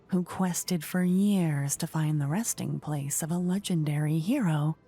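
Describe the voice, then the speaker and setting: A woman playing a playful, regal fantasy queen in a soft-spoken, breathy ASMR roleplay, languid and coy, often slipping into whispers close to the microphone. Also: lowish voice, exaggerated voice. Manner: languid, coy, flirtatious, courtly. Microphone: close ear-to-ear microphone.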